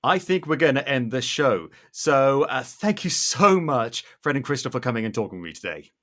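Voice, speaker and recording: A man hosts a podcast with plenty of enthusiasm and a playful streak. His pitch moves around a great deal and his tone is bright and punchy. The delivery is upbeat throughout. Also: very animated, moderate pace. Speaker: podcast host.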